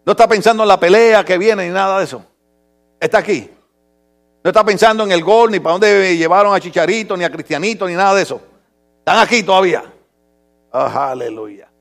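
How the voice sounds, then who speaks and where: Guy preacher w high gravelly voice, theatrical n funny, goes from muttered asides to big stretched-out shouts. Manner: theatrical, swings from murmured asides to drawn-out shouts; humorous, mimics people in dialogue. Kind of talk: animated sermon to a congregation.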